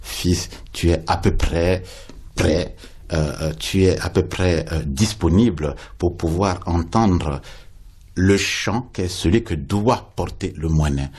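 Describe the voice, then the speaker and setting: A man, a poet guest in a radio interview, speaking slowly and lyrically, often repeating words as he searches for them. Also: sits low, darkish tone, breathy edge.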